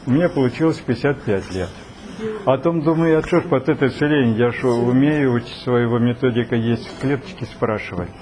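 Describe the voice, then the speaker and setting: A man's voice: mid-range voice, a little fast, darkish tone. A man in his seventies rambling through a folksy personal story in a group talk, full of fillers. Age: 70s. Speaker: participant. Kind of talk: casual storytelling. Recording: noisy recording.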